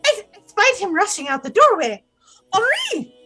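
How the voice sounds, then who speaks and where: A woman's voice: very high-pitched, sing-song, moderate pace, pushed and bright, soft background music, full character voice. A woman acting as a sweet, fearful young queen in an audio play, speaking in a formal, deferential, anxious way. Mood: panicked. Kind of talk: theatrical audio drama acting.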